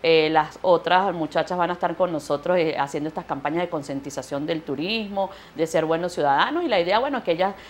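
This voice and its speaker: Venezuelan woman in her thirties, tourism official on live TV, fairly low voice, hesitant. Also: fairly quick, hardly any pauses. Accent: Venezuelan Spanish. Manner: hesitant, many fillers and restarts. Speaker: president of a tourism corporation, guest. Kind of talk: live TV interview. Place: TV studio. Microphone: handheld mic.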